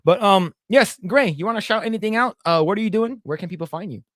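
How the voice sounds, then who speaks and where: A man's voice: high-pitched, fast talker, a bit bright, dry recording. A young man co-hosting a podcast, chatting in a rambling, blunt way with casual swearing. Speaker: podcast co-host. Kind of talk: casual chat.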